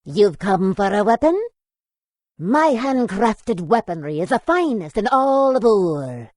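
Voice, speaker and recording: A proud fantasy weapon seller with a medium-pitched voice. She boasts slowly and deliberately, with an animated rise and fall. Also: no echo, clean studio sound. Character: proud female weaponsmith merchant, fantasy game.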